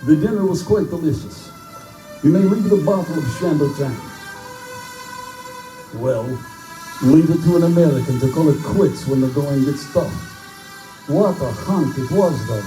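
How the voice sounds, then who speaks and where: An actor playing a suave, aristocratic villain who hunts, speaking formally and condescendingly in live pulp-drama style. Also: male voice, a little high, lively intonation, unhurried, long pauses, dark tone. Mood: musing, satisfied. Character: suave aristocratic hunter general, gloating and courtly villain. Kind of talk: live pulp radio-play acting. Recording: echoey live venue, distant mic, music behind.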